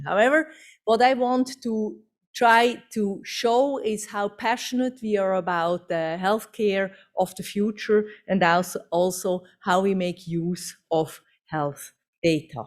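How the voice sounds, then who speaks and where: A woman's voice: medium pitch, darkish tone. Swiss-accented woman giving a talk. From Switzerland. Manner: fluent non-native English with fillers, upbeat. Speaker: CEO presenting. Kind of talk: conference presentation.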